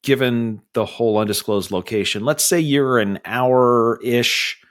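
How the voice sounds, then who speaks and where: A man's voice: medium pitch, measured pace, fairly bright tone. A man co-hosting a casual podcast, playful and goofy, laughing as he chats. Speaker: podcast co-host. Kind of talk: casual chat.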